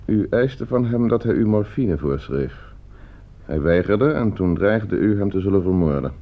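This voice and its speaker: A low-voiced schoolteacher who is controlled, courteous and quietly manipulative. He speaks as a radio drama villain would, on a muffled old recording. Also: lively intonation, moderate pace. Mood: calm, menacing. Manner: controlled, polite, insinuating. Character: calm, calculating male schoolteacher, polite but menacing manipulator. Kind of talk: Dutch radio play acting.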